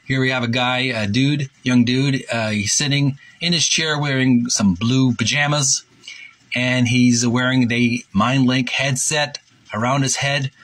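A man hosting a retro gaming video, reading a book aloud in a halting, deliberate way with casual commentary. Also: mid-range voice, steady tone, fairly bright tone. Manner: halting, deliberate, repeats himself.

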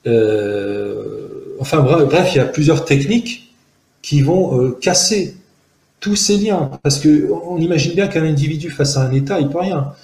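A man in his forties, originally from Algeria with Arabic as his first language, speaking as an interview guest, earnest and analytical, pausing often with fillers and restarts, talking outdoors into a close camera. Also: on the high side, animated, darkish tone, roomy sound. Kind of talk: reflective testimony in an interview. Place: outdoors in a park-like garden by buildings.

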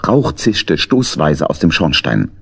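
A man's voice: a bass voice, sing-song, takes it slowly, vocal fry, husky. A man narrating a scripted children's story in German as the storyteller of an audio play.

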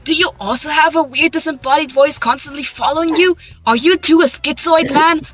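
Woman as a high-pitched, cocky hedgehog hero. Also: fairly bright tone, faint music behind. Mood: flippant. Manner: halting, casual, full of filler words. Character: cocky young male blue hedgehog hero, brash and flippant. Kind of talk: improvised comedy fan dub.

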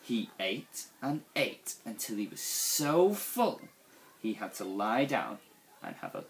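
A young man reading a picture book aloud to children in an expressive, deliberate storytelling style. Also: on the low side, vocal fry, slight background noise. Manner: animated, deliberate storytelling delivery. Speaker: school staff member reading to young children.

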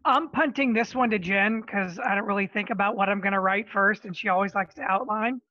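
A man's voice: high voice, fairly quick, no room sound. Man, author panelist, wry and joking, headset, dull dry lo-fi call audio. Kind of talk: casual panel chat. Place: home room, video call.

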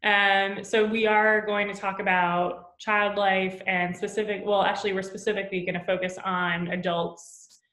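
Flat-voiced woman host in an echoey room. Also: mid-range voice, normal speed. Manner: rambling, searching questions with ums. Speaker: podcast host, interviewer. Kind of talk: casual interview over video call. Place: room at home with plants. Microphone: webcam mic on a video call. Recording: echoey room over a webcam.

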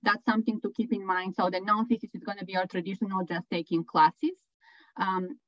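A woman's voice: medium pitch, flat delivery, a little fast. Woman from bulgaria, professor, dull video call audio. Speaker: engineering professor. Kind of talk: explaining a program.

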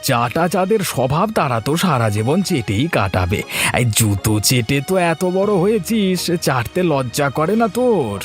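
A man's voice: on the high side, very animated, a little slow, faint music behind. A man voicing a scornful young villager in a cartoon fairy tale, with a taunting, stern delivery. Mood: mocking.

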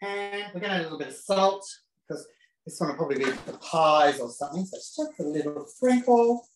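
A man's voice: a little high, lively intonation, a little fast. Camp chatty man in a roomy kitchen. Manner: camp, chatty, cheeky asides. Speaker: cooking demo presenter. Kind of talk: casual live cooking demonstration. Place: home kitchen. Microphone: self-set camera.

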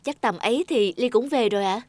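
A woman's voice: on the high side, fairly quick, darkish tone, no echo, natural voice. A woman playing a helpful young office worker in a cartoon, speaking politely and attentively with care. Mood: caring. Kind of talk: cartoon dialogue acting.

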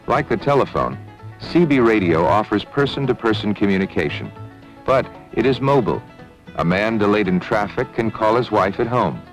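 A man reading formal, measured scripted narration as a documentary narrator. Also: on the low side. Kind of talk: scripted newsreel-style narration. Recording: 1970s film soundtrack with music. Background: background music.

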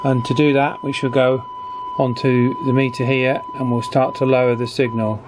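A man's voice: medium pitch, little pitch movement, moderate pace. Man narrating a hands-on tutorial, noisy background. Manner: informal, thinking aloud while working. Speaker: electronics hobbyist demonstrating radio alignment. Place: indoor electronics workbench. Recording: clear background noise from workbench.

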